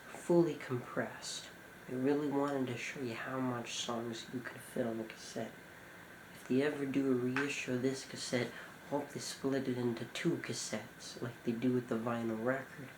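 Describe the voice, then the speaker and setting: A man giving an informal show-and-tell commentary as a collector, talking in short, halting phrases. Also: medium pitch. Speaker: cassette collector presenting a collection. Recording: echoey room with clear background noise.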